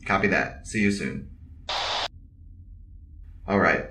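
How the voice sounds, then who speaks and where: A stoic, battle-hardened military officer in an audio drama. The voice is low and deadpan, every answer terse and literal, sounding roomy and set back from the mic. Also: slow, far from the mic, background noise. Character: stoic, deadly, loyal veteran military officer.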